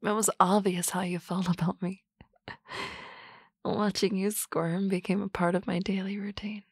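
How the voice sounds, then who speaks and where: A woman playing a teasing, confident office boss in a soft, close, whispered ASMR roleplay. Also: mid-range voice, flat delivery, normal speed, breathy edge, no echo.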